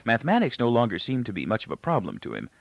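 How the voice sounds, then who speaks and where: A man's voice: medium pitch, huge pitch swings, rapid-fire, vocal fry, no room sound. A man reading literary fiction aloud as a scripted narration. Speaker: audiobook reader. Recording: dull, lo-fi, close dry recording.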